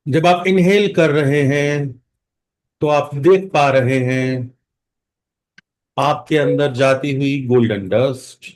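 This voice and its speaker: An Indian man leading a guided meditation as instructor, speaking calmly in short phrases with long pauses between them. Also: medium pitch. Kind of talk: guided meditation, mixing Hindi and English.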